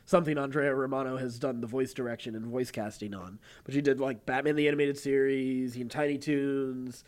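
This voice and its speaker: A man co-hosting a podcast in casual chat, speaking in a halting, digressive way with chuckles. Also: mid-range voice, fairly even intonation, darkish tone. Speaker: podcast co-host. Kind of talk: casual chat about TV and voice acting.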